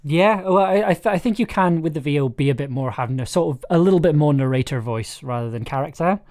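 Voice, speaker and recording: A man hosting a casual script discussion, thinking aloud in a halting way and repeating words as he explains. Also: on the high side, expressive, a little mellow. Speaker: audio drama writer and director hosting a livestream.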